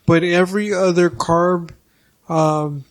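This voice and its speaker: A man's voice: sits high, steady tone, slow pace. A man in his thirties, co-hosting a podcast, chatting casually in a rambling, crude way with lots of restarts. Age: 30s. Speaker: podcast co-host. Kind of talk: casual chat.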